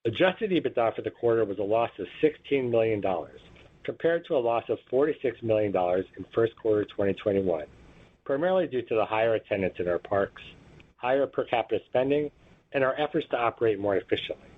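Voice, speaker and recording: A man's voice: lowish voice, animated. A man reading a scripted financial results presentation as a company executive, in a formal, businesslike manner. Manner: formal, businesslike, measured recitation of figures. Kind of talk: scripted earnings call presentation, read aloud. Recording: tinny, muffled phone conference line.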